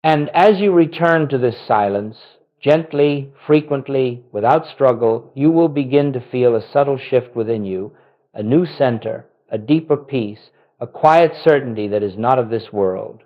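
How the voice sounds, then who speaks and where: A man delivering a scripted spiritual sermon in a solemn, gentle manner, with short, deliberate phrases. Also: mid-range voice, lo-fi sound. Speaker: spiritual teacher.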